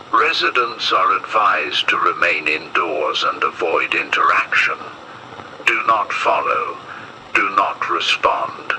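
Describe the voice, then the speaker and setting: A man delivers scripted horror narration in the form of an official safety warning. His deep voice moves slowly and ominously, with lively rises and falls in pitch. The delivery stays grave and measured. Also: noisy background.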